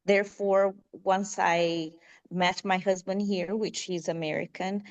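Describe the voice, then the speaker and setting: A woman's voice: medium pitch, steady tone, unhurried, a little mellow, highs cut off. A middle-aged Brazilian woman speaking English as a second language, answering interview questions hesitantly with many fillers. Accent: Brazilian Portuguese. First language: Portuguese. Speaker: guest. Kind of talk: conversational interview.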